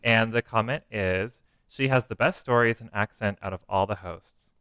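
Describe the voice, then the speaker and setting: A man's voice: fairly low pitch, moderate pace, a bit bright, clear, resonant tone, dry recording. An American man co-hosting a podcast, curious and chatty, asking questions and chuckling. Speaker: podcast co-host. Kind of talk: casual podcast chat.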